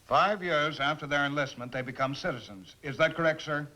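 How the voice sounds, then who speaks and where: A man's voice: on the high side, fairly quick, over music. A man interviewing a colonel in polite, formal tones, recorded on old film sound. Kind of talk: scripted studio interview. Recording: dull lo-fi 1950s film sound.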